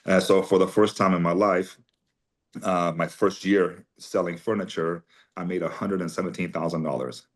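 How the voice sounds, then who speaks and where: A man's voice: sits low, steady tone, normal speed. A man in his forties from Armenia, speaking as a guest in an interview and telling long personal stories in a chatty, conversational way. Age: 40s. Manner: chatty anecdotal storyteller, many fillers. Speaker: guest, company CEO.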